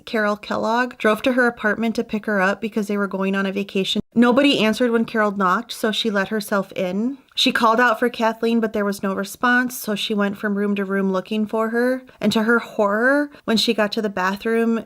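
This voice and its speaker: A woman's voice: on the high side, fairly bright tone. A woman narrating a true crime story in a conversational way, with musing personal asides. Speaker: true crime channel host.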